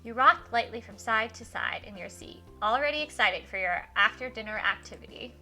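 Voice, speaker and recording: A woman livestreaming a game, switching between informal chat with viewers and expressive read-aloud in a giggly, animated manner. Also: a little high, a little fast, faint music behind. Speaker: livestreamer hosting a visual novel playthrough.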